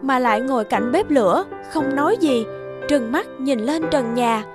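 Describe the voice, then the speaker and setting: A woman's voice: high voice, moderate pace, music in the background. A woman storyteller reading scripted fairy-tale narration for children.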